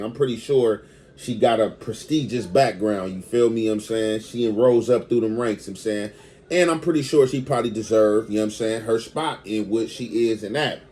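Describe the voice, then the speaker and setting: A male online commentator with an American accent talks in a casual, unscripted way. His phrasing is slangy and conversational, and he keeps checking that the listener follows. His voice sits at a middle pitch. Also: warm tone. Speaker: online video commentator and host.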